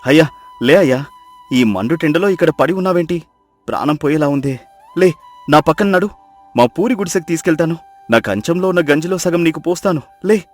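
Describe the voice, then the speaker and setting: A man's voice: on the high side, lively intonation, brisk. A man playing a kind, poor fisherman in a dramatized moral story, speaking earnestly and with compassion. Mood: concerned, urging. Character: kind, poor fisherman pleading for mercy on a stranger. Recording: music behind the voice.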